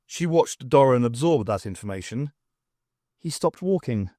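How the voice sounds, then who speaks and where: A man reading fiction aloud as an audiobook narrator, in an expressive storytelling style. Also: medium pitch, normal speed, no echo, clean studio sound.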